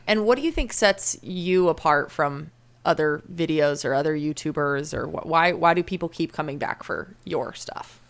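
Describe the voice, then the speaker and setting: A woman hosts a casual interview with a slightly low-pitched voice. She is friendly and keen, laughing easily as she asks questions. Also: normal speed, creaky, few pauses. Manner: friendly, laughs often, enthusiastic. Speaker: podcast host.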